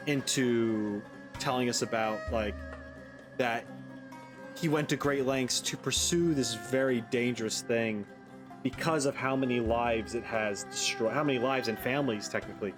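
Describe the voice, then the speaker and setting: A man hosting a gaming video, giving casual playthrough commentary and rambling as he thinks aloud. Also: medium pitch.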